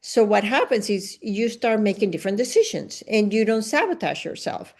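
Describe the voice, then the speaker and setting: A woman leads a podcast conversation. Her pitch sits in a middle range for a woman. She speaks assertively and with emphasis, often breaking off to restart a phrase. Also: vocal fry, a little mellow. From Venezuela. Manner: assertive, emphatic, with self-corrections. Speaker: podcast host. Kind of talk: conversational interview.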